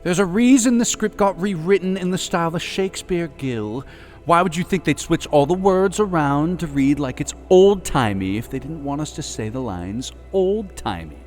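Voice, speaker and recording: A man's voice: a little high, animated, normal speed, a little mellow, faint music behind, voice pushed up, natural voice. A man voicing Ross, a brash, insulting male skeleton actor in a storybook reading. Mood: bossy. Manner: pushy, sarcastic, scolding. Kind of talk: storybook character voice.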